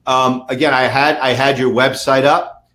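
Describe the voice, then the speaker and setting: A man's voice: mid-range voice, fairly even intonation, moderate pace, bright, forceful tone. A man with a New York accent hosting a webinar in a casual, emphatic way, often repeating words and checking in with "okay". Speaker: webinar host, lawyer.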